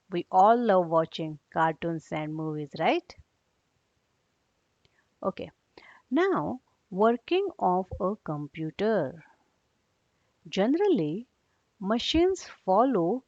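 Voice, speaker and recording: A woman teacher giving a read-along lesson for young children, explaining in a didactic way and checking understanding with short questions. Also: medium pitch. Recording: dull, echoey room recording.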